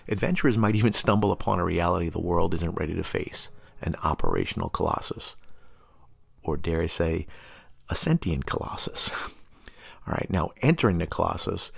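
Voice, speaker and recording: A man's voice: deep voice, sing-song, moderate pace, creaky, slightly rough, no room sound. A man presenting tabletop gaming lore, reading scripted narration and adding casual, mildly wry asides in an explanatory way.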